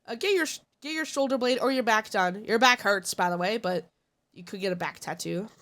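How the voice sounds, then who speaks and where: A woman's voice: mid-range voice, brisk, a bit bright. A congested woman streamer chatting casually and giving blunt, opinionated takes. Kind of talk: casual live-stream chat.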